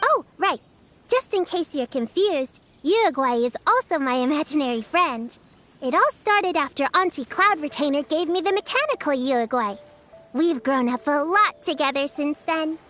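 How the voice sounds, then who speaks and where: Caring, cheerful little girl for a game: sweet, dutiful, very high, lively. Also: moderate pace. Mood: cheerful, proud. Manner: encouraging, gently fussing. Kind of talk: video game voice acting. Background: faint music.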